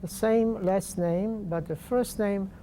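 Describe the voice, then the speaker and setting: Slow-spoken older man. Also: high-pitched, warm tone, a little hiss or hum. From Poland. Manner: slow, deliberate, halting with pauses. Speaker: interviewee. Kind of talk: oral history interview.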